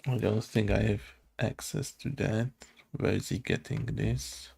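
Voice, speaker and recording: A man who develops games, thinking aloud casually as he codes, halting and muttering to himself. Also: lowish voice, steady tone, creaky, a little mellow, slightly rough. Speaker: game developer.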